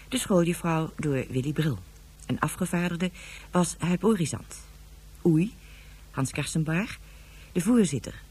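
Mellow, husky radio credits announcer. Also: medium pitch. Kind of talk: scripted formal announcement.

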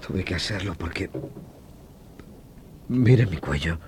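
A tormented doctor in an old radio horror play, with a low voice that swings widely from murmurs to outcries. His delivery is halting and theatrical, on a noisy vintage recording. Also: expressive. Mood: haunted. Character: anguished doctor, brother who confesses killing his vampire wife.

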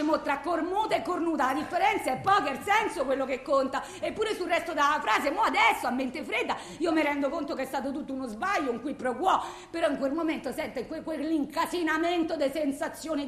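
A woman's voice: high-pitched, a bit bright. An actress playing a distraught, volatile blind wife with a Roman accent, rambling and self-justifying in agitated messages to her lawyer in a radio monologue. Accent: Roman Italian. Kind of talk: theatrical radio monologue, framed as phone messages. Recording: roomy, echoing sound.

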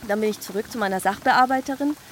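A woman's voice: medium pitch, quick pace, natural voice. An actress playing a talkative, caring, scatterbrained woman in a naturalistic radio drama, musing and rambling conversationally. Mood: matter-of-fact. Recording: clearly noisy outdoor ambience.

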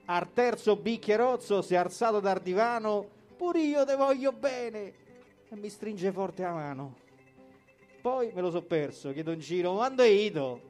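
High-voiced Roman man performing dialect verse. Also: expressive, measured pace, darkish tone. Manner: colloquial, theatrical storytelling with acted dialogue. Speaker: poetry performer. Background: guitar music.

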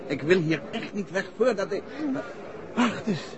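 An actor playing a jovial, eager gentleman detective talking fondly to his wife in a 1960s radio drama. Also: high voice, unhurried. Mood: jovial, excited. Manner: animated, theatrical. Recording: noisy old radio recording, fairground crowd.

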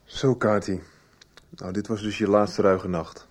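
A man's voice: lowish voice, fairly even intonation, unhurried. Sarcastic, fed-up young man. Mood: weary, sarcastic. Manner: sarcastic, scolding. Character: fed-up, reproachful grown son of a drinking mother. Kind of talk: radio soap acting.

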